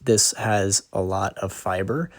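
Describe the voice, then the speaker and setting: A young man with a cold, sounding nasal and raspy, casually walking through a homemade meal in a cooking video, pausing often with ums. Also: low voice, no echo, clean studio sound. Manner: hesitant, many ums and uhs, lists nutrients. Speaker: student making a cooking video. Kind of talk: casual explanatory cooking vlog.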